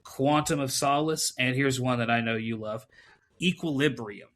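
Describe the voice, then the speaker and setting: A man's voice: medium pitch, moderate pace, fairly bright tone. A man co-hosting a film podcast, talking casually and laughing often, with plenty of fillers. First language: English. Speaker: podcast co-host. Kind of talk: casual chat about films.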